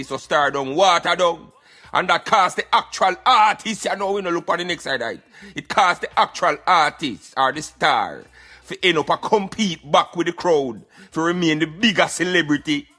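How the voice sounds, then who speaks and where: A man's voice: high-pitched, bright, forceful tone. A Jamaican man giving opinionated conversational commentary on a radio show, in patois with emphatic interjections.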